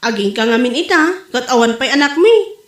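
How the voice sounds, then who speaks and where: An actress plays a frank village woman in an Ilocano-accented comic drama. Her voice sits high, and the sound is roomy and echoing. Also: expressive, moderate pace. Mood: earnest. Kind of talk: Ilocano comedy drama acting. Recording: roomy echo.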